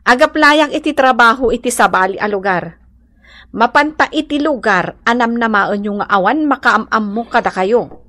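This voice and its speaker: A woman's voice: a little high, animated, normal speed, pushed and bright. A woman with an Ilocano accent playing a bossy, exasperated grown daughter in a radio drama, speaking emphatically. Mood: coaxing.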